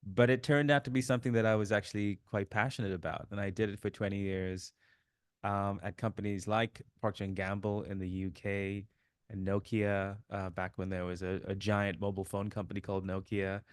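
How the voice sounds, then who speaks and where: A man in his fifties, originally from Sri Lanka, telling his life story as an interview guest, with relaxed fillers. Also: fairly low pitch, brisk, smooth, dry recording, clean studio sound. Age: 50s. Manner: warm storytelling, reflective, many fillers. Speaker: guest, author. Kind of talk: casual interview chat.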